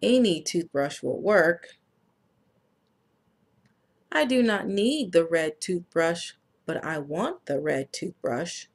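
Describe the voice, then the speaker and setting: An earnest little boy explains, in a slow and simple picture-book voice, what he needs and wants, as a woman reads him aloud. The delivery is unhurried and a bit gravelly at the edges. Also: lowish voice, expressive, full character voice.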